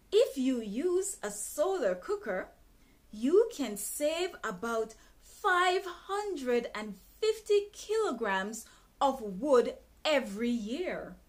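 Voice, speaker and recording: Woman teacher in her 30s, reads a lesson very slowly and deliberately, small room. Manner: careful, deliberate reading with expressive pitch. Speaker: English teacher reading a textbook aloud. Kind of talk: slow, clearly enunciated read-aloud lesson. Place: small bright indoor room.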